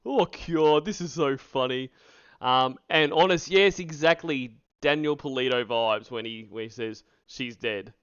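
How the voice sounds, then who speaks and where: A man's voice: mid-range voice, a little slow, fairly bright tone, clean tone, dry recording. A man hosting a livestream, giving casual, sarcastic commentary in a mocking, profane way. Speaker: livestream host reacting to recorded calls. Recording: dull lo-fi stream audio.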